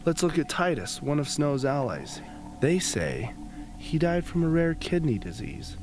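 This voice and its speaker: A young man acting in a radio play as a young rebel revealing a tyrant's dark secrets. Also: on the high side, sing-song, a little slow. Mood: grave, insinuating. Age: young adult. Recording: noticeable background noise.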